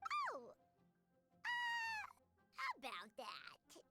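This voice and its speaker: A woman voicing a small, excitable, childlike fairy companion in a video game, with a squeaky, exclamatory delivery. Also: very slow, heavily acted. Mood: flustered. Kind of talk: animated video game voice acting. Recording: music behind the voice.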